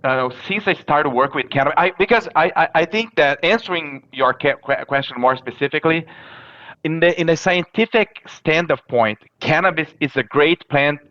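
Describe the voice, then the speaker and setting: A Brazilian-accented man with a fairly high, bright and forceful voice, answering questions in halting phrases with many fillers and restarts. The audio sounds dull and lo-fi. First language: Portuguese. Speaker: professor guest. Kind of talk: interview answers.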